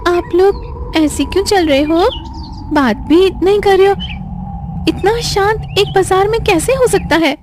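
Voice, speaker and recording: A woman's voice: very high voice, a little slow, noisy background, voice pushed up. A woman voicing a brave, earnest young heroine in a cartoon moral story, with dramatic, wondering delivery. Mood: puzzled.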